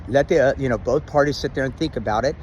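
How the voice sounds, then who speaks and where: A middle-aged man with a loud, dominant voice gives relationship advice to camera in a loose, rambling way, with plenty of ums and restarts. His pitch sits right in the middle for a man. He records outdoors, with faint car and street noise behind him. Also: fast talker. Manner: says he has a loud, dominant voice. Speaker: vlogger giving relationship advice. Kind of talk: casual talk to camera.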